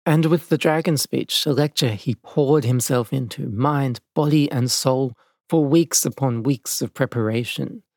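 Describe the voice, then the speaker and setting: Dry, measured male documentary narrator. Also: medium pitch, a little mellow, dry recording. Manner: measured, dramatic storytelling. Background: faint music.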